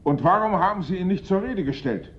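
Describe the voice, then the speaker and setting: An actor playing a brusque, sceptical police inspector who questions curtly in a German radio play. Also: male voice, high voice, expressive, fairly quick, dull audio, slight background noise. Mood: sharp, accusing. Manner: curt, probing, accusatory.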